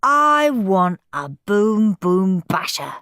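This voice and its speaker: A woman voicing a bratty, demanding young boy in a storybook reading, whiny and shouty. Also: medium pitch, very animated, very slow, smooth, no room sound, exaggerated voice. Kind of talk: storybook character voice.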